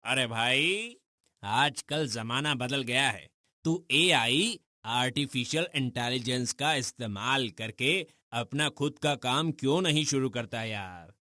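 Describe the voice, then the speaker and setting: An actor playing a brash, upbeat young friend in a cartoon story, colloquial and teasing. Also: male voice, mid-range voice, animated, slow pace, pushed and bright, no echo, studio quality. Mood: eager, chiding. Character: brash, upbeat young buddy giving advice.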